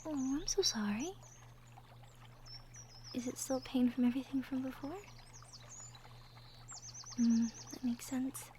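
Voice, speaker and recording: A woman playing a gentle, caring young girlfriend from the woods in a soft, intimate romantic ASMR roleplay, with tender murmurs. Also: sits high, slow pace, long pauses, slight background noise. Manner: soft, tender, breathy murmurs with light giggles. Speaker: audio roleplay performer. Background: birdsong and outdoor nature ambience effects.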